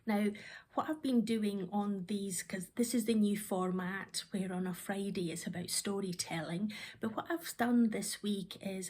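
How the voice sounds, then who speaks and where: Husky Scottish woman in her fifties. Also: on the low side, a little mellow. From Scotland. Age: 50s. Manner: thoughtful, rambling with frequent ums and restarts. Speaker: vlogger. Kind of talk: reflective personal storytelling.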